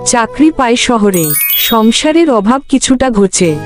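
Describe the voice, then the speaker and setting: Lady narrating a cartoon tale, voice on the high side, music going behind her. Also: animated, a little slow. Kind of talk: scripted story narration for a cartoon. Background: background music.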